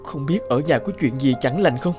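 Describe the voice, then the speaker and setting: A woman's voice: a bass voice, moderate pace, a little mellow, soft background music. A woman playing an earnest, emotional, devoted young man in a fairy-tale cartoon. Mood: anxious, pleading. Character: troubled, devoted young man regaining his sight.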